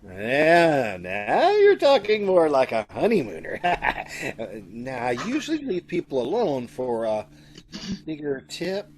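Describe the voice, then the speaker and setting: A man's voice: fairly high pitch, huge pitch swings, slow pace, full character voice. A man playing a nosy, pompous hotel bellman in a chatty, self-important old-time radio comedy style. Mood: eager, ingratiating. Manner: chatty, fussy, self-important, swooping delivery.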